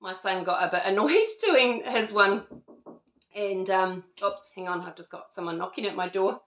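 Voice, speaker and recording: A woman's voice: medium pitch, normal speed, echoey room. A middle-aged woman with a New Zealand accent, chatting casually to camera at home, rambling with lots of fillers and restarts. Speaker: vlogger. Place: at home indoors.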